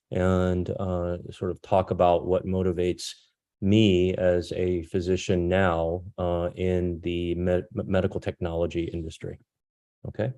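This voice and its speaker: A man in his fifties whose first language is Korean gives an informal talk as a guest doctor. His voice sits very low and has a dark, mellow tone. He speaks in halting phrases, pausing partway through his thoughts. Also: clear, resonant tone. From born in South Korea, grew up in France and the United States. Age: 50s. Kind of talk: informal presentation about his career.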